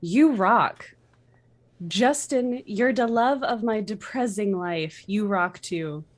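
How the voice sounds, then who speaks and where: A woman's voice: medium pitch, takes it slowly. A woman reading fan fiction author's notes as a gushing, touchy teenage goth girl. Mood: gushing, dramatic.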